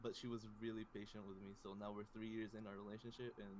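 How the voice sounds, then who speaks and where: A man's voice: lowish voice, flat delivery, fast talker, darkish tone, a little hiss or hum, poor audio. A man in his early twenties, from the Philippines, guesting on a podcast and chatting casually with many fillers and restarts. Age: 20s. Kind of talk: casual chat.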